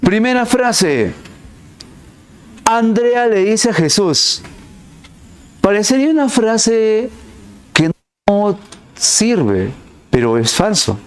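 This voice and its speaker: A man teaching math in an online class, walking through each step and asking his students questions along the way. His voice sits high and jumps up and down to stress key words. He takes his time. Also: slow, pauses often, clean tone. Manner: explains step by step with frequent rhetorical questions; lively, swings his pitch to stress words.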